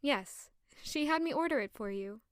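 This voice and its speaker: Woman playing a high-voiced, courteous noblewoman. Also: expressive, moderate pace, dry recording, studio quality. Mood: polite, observant. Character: courteous, concerned young noblewoman at a royal court. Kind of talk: audio drama acting.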